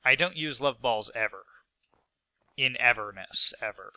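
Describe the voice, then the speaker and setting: A man's voice: medium pitch, a little slow, bright, forceful tone, dry recording. A young man with a stuffy nose, sick, talking through his gameplay casually with rambling asides and fillers. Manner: rambling, self-mocking, lots of fillers. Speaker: gaming video host.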